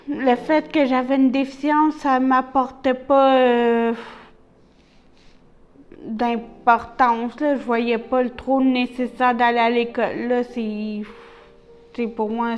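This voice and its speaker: A woman with a Québécois accent, an adult literacy learner giving a personal testimony, halting often with fillers and pauses. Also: on the high side, clean tone, muffled recording. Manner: hesitant, many pauses and fillers.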